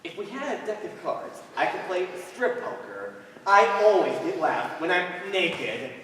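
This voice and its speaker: A man's voice: high-pitched, animated, measured pace, a bit bright, exaggerated voice. A teenage boy on stage playing a wry, wisecracking comedian from an underground double act. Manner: theatrical, wry, emphatic. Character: male wisecracking underground vaudeville comedian of a duo. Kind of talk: high school stage play acting. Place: stage in a large hall, recorded from afar. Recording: echoey hall, distant microphone, background noise.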